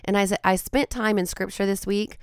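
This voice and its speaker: A woman hosting a devotional podcast, her voice raspy and hoarse from a cold, speaking earnestly with frequent ums and restarts. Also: mid-range voice, steady tone, normal speed, no room sound, studio quality. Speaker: podcast host and Bible teacher.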